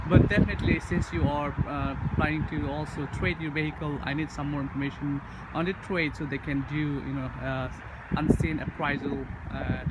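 Hoarse male car salesman, slightly high voice, casual filler-heavy walkaround, phone mic outdoors on a noisy lot. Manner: casual, many fillers, off-camera narration. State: hoarse from losing his voice. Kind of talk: personal walkaround video for a customer. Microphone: handheld phone camera. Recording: loud outdoor lot noise.

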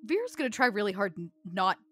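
A woman's voice: mid-range voice, very animated, fast talker, a bit bright, faint music behind. A woman playing a tabletop roleplaying game, casually and emphatically describing what her character does.

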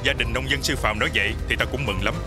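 A man's voice: medium pitch, rapid-fire, music in the background, close to an everyday voice. A man playing a dignified, trusting planetary leader in a children's cartoon, with a formal, earnest manner. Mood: grateful. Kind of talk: animated cartoon dubbing.

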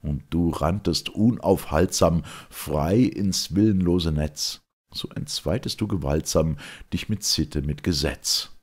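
A solemn ancient chorus spoken by one man declaims verse in a measured, mourning and admiring way. The voice is low and husky and takes its time. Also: heavily acted. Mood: mournful.

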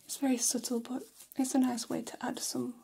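A woman in her thirties playing a friendly, gossipy vampire hairdresser in a soft, unhurried, breathy ASMR whisper, close to the microphone. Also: high voice, level tone, exaggerated voice. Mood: attentive, soothing. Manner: soft, unhurried, chatty, attentive. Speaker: ASMR performer. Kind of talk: whispered ASMR salon roleplay. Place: small decorated indoor room.

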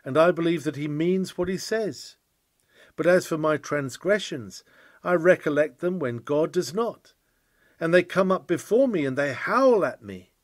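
A man's voice: a little high, lively intonation, measured pace, no echo. A man reading an old-fashioned sermon in an earnest, emphatic preaching style. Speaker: reader of a historical sermon.

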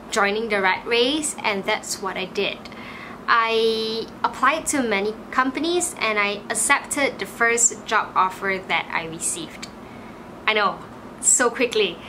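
Young Malaysian woman, 20s, bright and chatty vlogger voice, casual filler-heavy delivery, small home room. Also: medium pitch, a little hiss or hum, poor audio. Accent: Malaysian English. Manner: chatty, frequent "like" fillers, animated. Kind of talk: casual storytelling to camera.

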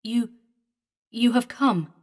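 An aging man pleads with deep, sorrowful longing, as a woman reads him. His voice rises and strains with emotion. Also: takes it slowly. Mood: yearning.

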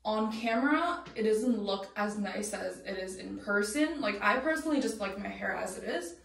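A woman's voice: medium pitch, lively intonation, normal speed, vocal fry, a bit bright, recorded from a distance, slight background noise. A young woman whose first language is Cantonese, chatting casually and informally as a vlogger in a small washroom, recorded on a camera a step or two away. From Canada, moved there while growing up. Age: young adult. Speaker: TV host and vlogger. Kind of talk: casual vlog chat. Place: small home washroom, indoors. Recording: echoey small bathroom.

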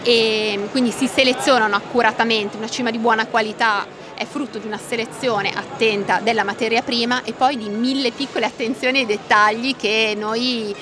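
A woman's voice: on the high side, fairly even intonation, normal speed, few pauses, over music. A woman, explaining things enthusiastically in a conversational interview as a company executive. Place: open trade-show stand. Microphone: handheld microphone held by interviewer. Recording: busy trade-fair crowd noise. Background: crowd bustle.

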